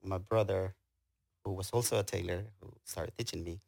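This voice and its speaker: Low, creaky-voiced Guatemalan man. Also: fairly even intonation, darkish tone, dry recording, clean studio sound. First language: Spanish. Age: middle-aged. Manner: halting, hesitant delivery. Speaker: interview guest, tailor and business owner. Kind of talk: casual conversational storytelling.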